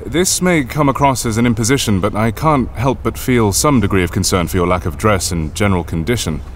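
Man playing a lowish voiced no-nonsense expedition doctor, dry and formal, faint noise behind, audio drama. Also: brisk, lightly acted. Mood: measured. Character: pragmatic, dry, unflappable male expedition leader and physician.

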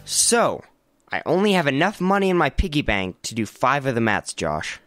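Man playing an earnest, animated youth. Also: mid-range voice, normal speed, faint music behind. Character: earnest, hesitant youth seeking money-making work. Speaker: actor in a family radio comedy. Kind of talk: family comedy radio play acting.

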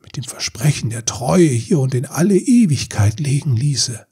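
A man voicing a wise old mermaid grandmother in a storybook, solemn and gentle, with a breathy, half-whispered voice. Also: medium pitch, sing-song, pitched above the performer's own voice, exaggerated voice. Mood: grave, tender. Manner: slow, solemn explaining.

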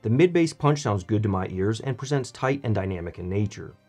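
A man's voice: fairly low pitch, expressive, fairly quick, darkish tone, soft background music. A man, reading a scripted product review as the host of a video channel.

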